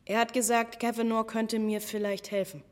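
A woman's voice: on the high side, flat delivery, moderate pace, darkish tone, natural voice. An actress playing a determined young woman seeking help among strangers, terse and direct, in a radio drama. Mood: determined, uneasy.

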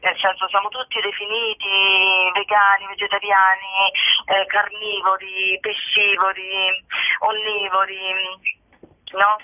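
A woman's voice: mid-range voice, level tone, slow pace, no room sound. A woman chatting casually as an interview guest, hesitant and often searching for her words. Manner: hesitant, searching for words, repeating phrase starts. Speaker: guest, actress and co-author. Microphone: telephone. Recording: tinny narrowband telephone line.

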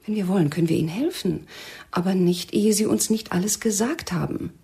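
A woman's voice: mid-range voice, brisk, warm tone, husky. An actress in a radio drama playing a cold, domineering female militant interrogator, stern and mocking. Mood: pressing, scornful.

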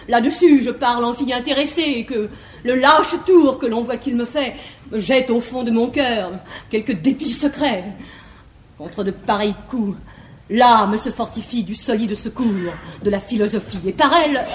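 An actress playing a haughty, jealous, prudish young bluestocking in classical French verse comedy, speaking in an affected, declamatory way. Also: female voice, on the high side, normal speed, natural voice. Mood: spiteful, insinuating. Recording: echoey 1950s theatre recording, faint noise.

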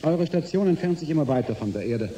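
A man's voice: a little high, lively intonation, quick pace, warm tone, echoey room, a little hiss or hum, natural voice, low-quality recording. A man in a radio drama playing a blunt, matter-of-fact executive briefing a rival. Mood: businesslike, grave.

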